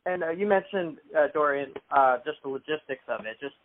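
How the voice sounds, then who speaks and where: Brief: male interviewer, rambling, slightly high voice, phone sound. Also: brisk, narrow, tinny audio, a little hiss or hum. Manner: rambling, filler-heavy questions.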